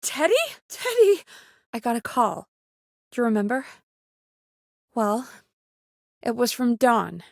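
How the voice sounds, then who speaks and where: An actress playing an anxious, caring young woman who produces a radio show in a video game, conversational and expressive with a wry, exasperated edge. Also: a little high, takes it slowly, vocal fry, pauses often, dry recording, studio quality, heavily acted. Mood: distressed, pleading, then shaken, confessing. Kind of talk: naturalistic video game dialogue acting.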